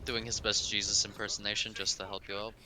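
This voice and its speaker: A man's voice: lowish voice, level tone, fast talker, crowd noise, poor audio. Man co-commentating casually on a game. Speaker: speedrun commentator.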